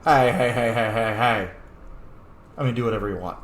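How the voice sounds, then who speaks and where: A man's voice: medium pitch, normal speed. A man giving casual gameplay commentary, playfully talking back to the game's characters. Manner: playful, muttering responses to the game. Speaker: gamer playing along in character. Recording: echoey room with faint noise.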